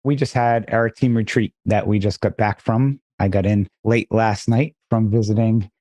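A man's voice: fairly low pitch, fairly even intonation, moderate pace, mellow, no echo. Dry, slightly froggy man co-host. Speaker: podcast co-host. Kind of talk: casual podcast chat.

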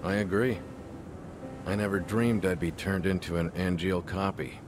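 A man voicing a weary, remorseful former director turned clone in a video game, speaking in a grave, reflective, measured way. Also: fairly even intonation, over music, lightly acted. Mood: grave, regretful. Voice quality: low, measured. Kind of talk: dramatic video game voice acting.

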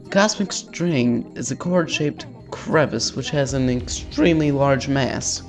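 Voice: medium pitch, a little mellow. A let's player reading visual novel text aloud in an informal way, stumbling over words and dropping in casual asides.

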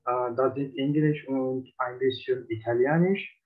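A man's voice: mid-range voice, steady tone, unhurried. A man in his twenties with a Turkish accent, practicing a German speaking exam with many hesitations. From Turkey. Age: 20s. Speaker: language learner. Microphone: video call. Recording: tinny phone-like online call.

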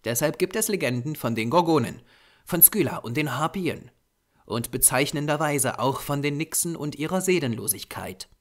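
A man voicing a grave, urgent Japanese storyteller of dark lore in an audiobook, solemn, measured and insistent. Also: on the high side, expressive. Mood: grave, explanatory.

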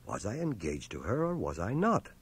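An actor playing an earnest, reserved young war veteran in a radio drama, measured, hesitant and bookish. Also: male voice, on the low side, huge pitch swings, husky, full character voice. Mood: wry, troubled. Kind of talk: 1980 radio drama acting and first-person narration. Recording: cassette transfer of radio drama, faint music.

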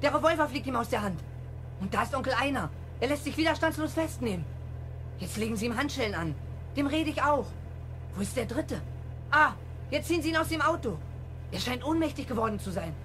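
Boy detective, boastful, excited, high-pitched, children's radio drama, clear vehicle hum. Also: fairly quick, long pauses. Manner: eager, self-assured. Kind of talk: 1970s German children's radio play acting. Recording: clear engine hum and noise.

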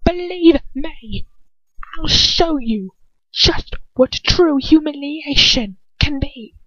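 A woman reading aloud and voicing a cruel, deranged male dark wizard who sneers and hisses, in a dull, dry recording. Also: a little slow, dry recording. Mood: furious. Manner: shrill, sneering, hissing. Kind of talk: amateur fan fiction reading. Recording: dull muffled sound.

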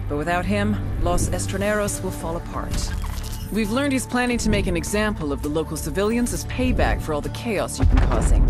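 Stern commander over loud music. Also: male voice, high-pitched. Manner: formal, authoritative. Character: stern military commander briefing his special forces team. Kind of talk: video game mission briefing.

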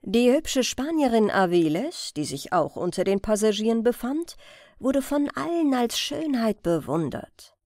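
A woman reading a crime story as an audiobook narrator in a measured, careful storytelling manner. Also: fairly high pitch, very animated, darkish tone, dry recording.

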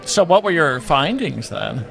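A man's voice: a little high, very animated, a little slow, gravelly, fairly bright tone. A man co-hosting a podcast, asking follow-up questions and often restarting them. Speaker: podcast co-host. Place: conference hall booth with crowd behind. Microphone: table mic on stand, wearing headphones.

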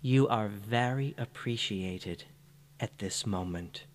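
A man narrating a scripted guided meditation in a soothing, gentle manner. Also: lowish voice, a little hiss or hum. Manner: soothing, unhurried, gentle phrasing.

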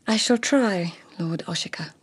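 An actress in a radio drama playing a melancholy, wry lady-in-waiting poet of old Japan, speaking in a measured, poetic way with a creaky voice. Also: fairly low pitch, dry recording. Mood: polite, weary. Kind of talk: BBC radio drama acting.

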